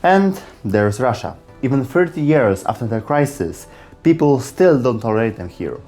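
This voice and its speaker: A man's voice: medium pitch, big pitch swings, normal speed, darkish tone, faint music behind. A man reading scripted narration as the narrator of an explainer video.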